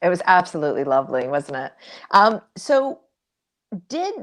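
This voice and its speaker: Low-pitched woman interviewing an author. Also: big pitch swings, moderate pace, fairly bright tone. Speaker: interviewer at a library author event. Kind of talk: conversational literary interview.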